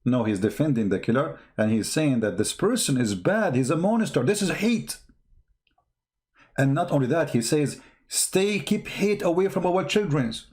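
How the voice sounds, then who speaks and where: A man's voice: fairly high pitch, animated, moderate pace, a little mellow. A man who speaks English as a second language, giving impassioned off-the-cuff news commentary in an emphatic, repetitive way full of rhetorical questions. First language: not English.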